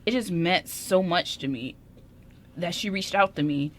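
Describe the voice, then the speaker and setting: A woman plays a shy young man in an amateur radio play. He is sensitive and smitten, talking haltingly in a lowish register with small stammers. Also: a little hiss or hum, close to an everyday voice. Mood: earnest, bashful. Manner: hesitant, stammering. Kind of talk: amateur radio drama script reading.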